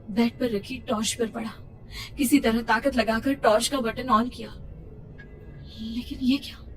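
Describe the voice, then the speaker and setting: Woman acting a frightened young pregnant woman, theatrical horror narration. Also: high voice. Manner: suspenseful, emotional, theatrical. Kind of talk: dramatic first-person horror story narration. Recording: distant-sounding voice under loud music. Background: loud background music.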